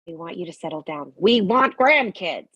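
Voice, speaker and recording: Prying middle-aged mother played by an actress, husky and animated, dry-recorded comedy table read. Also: fairly low pitch, moderate pace, no room sound, lo-fi sound. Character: prying mother wanting grandkids.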